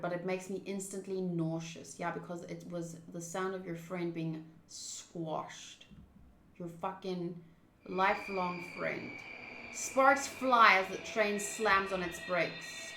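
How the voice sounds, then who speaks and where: Bright-voiced woman streaming in an echoey room. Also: mid-range voice. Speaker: game streamer. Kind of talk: live visual novel playthrough, reading text aloud and chatting.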